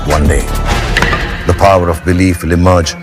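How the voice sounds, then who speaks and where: Male trailer narrator, very low voice, slow and solemn, loud music underneath. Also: fairly even intonation. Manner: slow, solemn, weighty delivery. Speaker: promo narrator. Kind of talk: dramatic scripted trailer narration.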